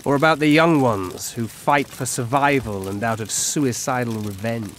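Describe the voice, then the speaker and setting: An old elf of the forest, voiced by a man, speaks gravely and formally in a mid-range voice. He sounds weary of the world and measures each phrase, as in a fantasy game. Background noise is clearly audible. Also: medium pitch, normal speed, a bit bright, close to an everyday voice. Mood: bitter, resigned. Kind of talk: video game dialogue acting. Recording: clear ambient noise behind.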